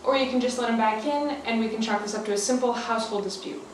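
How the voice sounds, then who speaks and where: An actress playing a firm, world-weary police officer in a radio thriller, measured and official. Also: female voice, on the high side, natural voice. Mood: stern. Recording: very echoey, distant, noisy recording.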